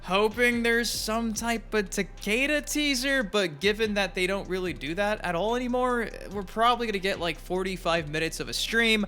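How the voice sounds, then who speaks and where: A man hosts a gaming news video in a forceful, bright voice that sits a little high. His delivery is informal and chatty, and he leans on words for emphasis. Music plays softly behind him. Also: normal speed, hardly any pauses. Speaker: YouTube gaming news host. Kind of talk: casual gaming news commentary. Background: background music.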